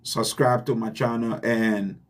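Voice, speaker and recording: A man with a Liberian accent giving an earnest, deliberate motivational talk to his channel's viewers, repeating his key points for emphasis. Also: lowish voice, steady tone. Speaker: channel host. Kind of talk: motivational address.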